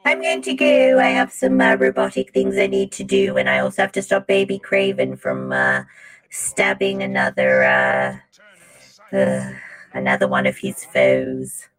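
A performer voicing a chatty, mildly vain robot woman with a drifting British accent, halting and polite in an improvised podcast bit. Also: a bass voice, big pitch swings, fairly bright tone, exaggerated voice. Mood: polite, matter-of-fact.

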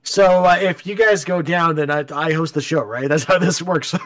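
Canadian guy on a podcast, kinda high voice, dry jokes. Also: normal speed. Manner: wry, joking. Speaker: podcast co-host. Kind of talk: casual podcast chat.

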